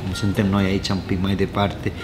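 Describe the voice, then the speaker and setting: A man in his sixties from Costa Rica with a Spanish accent, owner of a restaurant, chatting casually in an indoor restaurant bar and telling stories warmly with frequent chuckles. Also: low voice, completely flat delivery, music in the background. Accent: Costa Rican Spanish. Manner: warm storyteller, chuckles often, gestures with hands. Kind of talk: casual interview chat.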